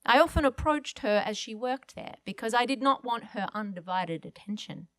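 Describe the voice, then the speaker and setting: A woman's voice: a little high, lively intonation, normal speed, no room sound. Woman reading a short story, dry sound. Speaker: guest reader. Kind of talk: literary short story read aloud to an audience.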